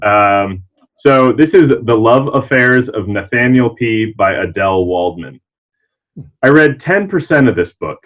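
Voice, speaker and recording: A man's voice: fairly low pitch, animated, unhurried, a bit bright. A man who works as a librarian, reading aloud and chatting informally on a panel. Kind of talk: reading reviews aloud and casual chat. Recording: dull, muffled lo-fi sound.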